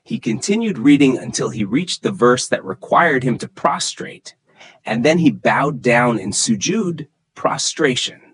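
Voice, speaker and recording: A man's voice: mid-range voice, sing-song, unhurried, fairly bright tone, rough recording. A man narrating a scripted religious story for children in a dramatic storytelling manner.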